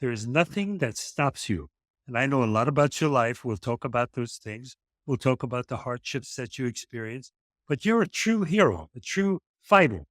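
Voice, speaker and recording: A man's voice: mid-range voice, animated, normal speed, a bit bright, no echo, clean studio sound. A man hosting an interview, praising his guest effusively and with emphasis. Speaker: host. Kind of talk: interview chat.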